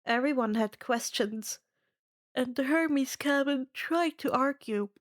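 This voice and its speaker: A woman narrating and hosting fan fiction readings in a measured, expressive storytelling manner. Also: sits high. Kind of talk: scripted first-person story narration.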